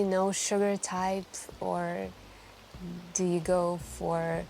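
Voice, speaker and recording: A woman acting as a wistful, lonely woman speaks softly and slowly in an intimate ASMR roleplay. She is hesitant and confiding, drifting between thoughts with frequent pauses, over quiet background music. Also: on the low side, low-quality recording. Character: wistful, lonely woman chatting shyly with a stranger.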